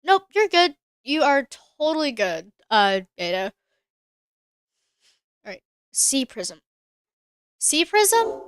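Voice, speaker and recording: A woman's voice: high voice, sing-song, slow pace, pauses often, dry recording. A woman streamer chatting casually while she plays a game, thinking aloud and answering her chat. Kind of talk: casual live-stream gameplay commentary.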